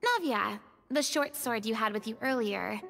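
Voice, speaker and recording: A woman's voice: a little high, animated, normal speed, vocal fry, a bit bright. An actress playing a precise, observant young woman in game dialogue. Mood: curious. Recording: game dialogue with long reverb and faint music.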